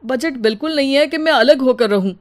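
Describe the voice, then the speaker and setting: Woman acting a caring elder brother, responsible, earnest, fairly high voice, cartoon story. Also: a little fast, lightly acted. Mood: distressed, reasoning. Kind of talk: acted dialogue in a cartoon moral story.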